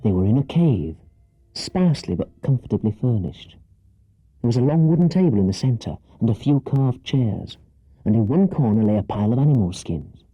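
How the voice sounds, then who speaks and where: A male audiobook narrator tells a children's adventure with dramatic care. His voice is lowish, very dark and husky. The recording is dry, with little room around the words. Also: animated, normal speed, no room sound, dull audio.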